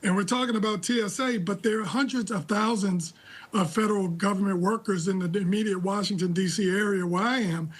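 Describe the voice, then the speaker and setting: A man with an American accent giving live political analysis in a measured, deliberate, critical way. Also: high-pitched, fairly even intonation. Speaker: political analyst, interview guest. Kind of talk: live news commentary.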